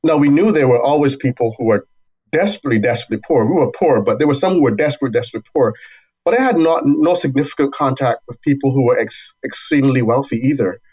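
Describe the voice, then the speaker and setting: A man guesting on an interview, speaking at a middle pitch. He tells his story in a thoughtful, measured way and sometimes restarts a phrase. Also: a little mellow, breathy edge, poor audio. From Barbados. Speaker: university dean, guest.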